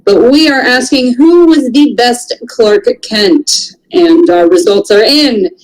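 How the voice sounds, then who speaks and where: A woman's voice: on the high side, animated, slow, rough recording. A woman co-hosting a casual panel chat show. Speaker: podcast co-host.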